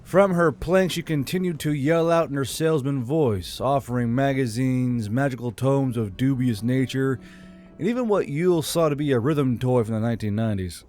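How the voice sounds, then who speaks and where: A man narrates a fantasy tale in a medium-pitched voice. He reads scripted prose as the host of his own storytelling channel. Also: normal speed, darkish tone, faint music behind.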